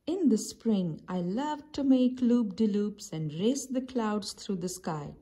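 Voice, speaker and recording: A woman's voice: mid-range voice, expressive, slow, dark tone. A woman voicing a cheery, eager little bird in a children's storybook, with a chirpy manner.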